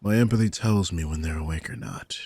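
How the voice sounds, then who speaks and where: A man playing a grumpy, sardonic old vampire mentor in an intimate audio roleplay, husky and informal, prone to swearing. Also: extremely low pitch, big pitch swings, a little fast, darkish tone, no echo, exaggerated voice. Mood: defensive, sardonic.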